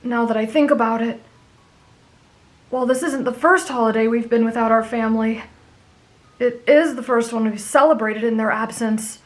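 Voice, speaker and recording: A woman voicing a kind, naive teenage boy demon slayer with a gentle, sincere way of explaining things, in an anime fan audio roleplay. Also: on the high side, steady tone, normal speed, long pauses, lightly acted.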